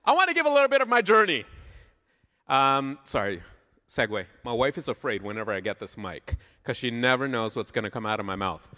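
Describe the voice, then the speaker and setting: Animated Canadian man preaching in an echoing hall. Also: medium pitch, brisk. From Southern Ontario, Canada. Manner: playful, conversational, asks the audience questions. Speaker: guest preacher. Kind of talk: informal sermon with audience interaction. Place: large church hall, speaking to a congregation. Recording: live hall with long reverb.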